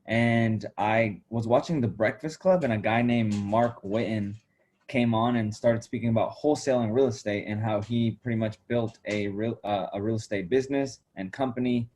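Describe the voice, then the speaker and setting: Low-voiced young man on a video call. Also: moderate pace, a little hiss or hum. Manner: relaxed, filler-heavy storytelling. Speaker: guest. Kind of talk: casual interview answers.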